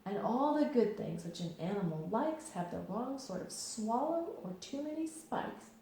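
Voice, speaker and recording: Brief: woman voicing a sweet, simple storybook bear, lifted pitch, echoey. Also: very animated, moderate pace, mellow, a little hiss or hum. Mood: earnest. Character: gentle, simple-minded young male bear. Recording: very echoey room.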